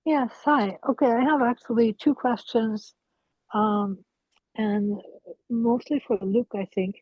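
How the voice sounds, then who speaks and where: A woman's voice: on the high side, steady tone, slow pace, slightly rough, no echo, lo-fi sound. A woman from Turkey who speaks Turkish natively, asking a conference panel a question in a friendly, direct way. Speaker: audience member asking a question.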